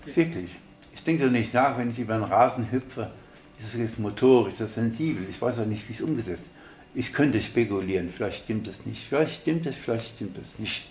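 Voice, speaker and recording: A man's voice: medium pitch, lively intonation, a little fast, darkish tone. A man muses aloud in a sceptical way, repeating himself, in spontaneous speech for a radio feature. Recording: roomy, echoing sound.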